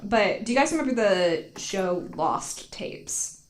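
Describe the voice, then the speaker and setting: A woman's voice: mid-range voice, lively intonation, slow pace, background noise. A woman chatting casually as a podcast co-host, telling a story in a giggly, sweary way full of "like". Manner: giggly, sweary, heavy use of "like". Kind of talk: casual comedic chat, storytelling.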